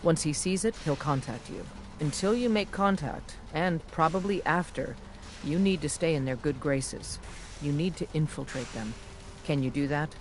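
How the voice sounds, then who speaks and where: An actor playing an earnest, secretive resistance leader in a video game, briefing an agent in an urgent, deliberate, persuasive way. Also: male voice, fairly high pitch, a little hiss or hum, close to an everyday voice. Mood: urgent, encouraging.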